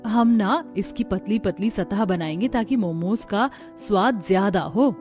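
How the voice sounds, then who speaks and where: A woman playing women of a joint family in a Hindi cartoon story, with expressive, earnest dramatic delivery. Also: mid-range voice, unhurried, faint music behind, lightly acted. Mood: earnest, homely. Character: women of a joint family, dutiful daughters-in-law and mothers-in-law.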